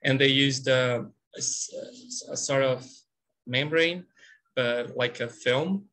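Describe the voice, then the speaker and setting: A man with a Brazilian accent, a student team member giving a halting, unscripted answer. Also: mid-range voice, a bit bright. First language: Portuguese.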